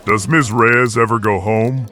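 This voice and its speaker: A man's voice: fairly low pitch, steady tone, a little slow, pushed and bright. An actor playing a jittery, suspicious young male call center agent in an audio drama, speaking in urgent, insistent short phrases. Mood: suspicious, hushed. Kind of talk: scripted audio drama acting.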